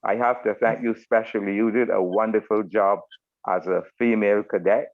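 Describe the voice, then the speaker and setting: Phone-like, low-voiced older Barbadian man. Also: fairly even intonation. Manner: formal, deliberate phrasing with anecdotes. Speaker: retired military officer giving closing remarks. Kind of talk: informal thank-you speech on a group call. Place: online video meeting. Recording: narrow phone-like video call audio.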